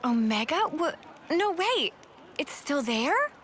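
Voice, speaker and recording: A woman playing an outspoken, excitable young female fighter in a video game, blunt and casual. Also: high-pitched, slow, a little hiss or hum, heavily acted. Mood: startled. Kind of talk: video game cutscene acting.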